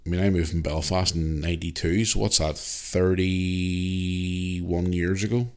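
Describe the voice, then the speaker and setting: A man's voice: low voice, fairly even intonation, moderate pace. A man in his forties with a Northern Irish accent, hosting a podcast and answering a listener's email in a rambling, chatty way, full of fillers and restarts. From Northern Ireland. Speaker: podcast host. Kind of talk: casual solo podcast, reading listener email.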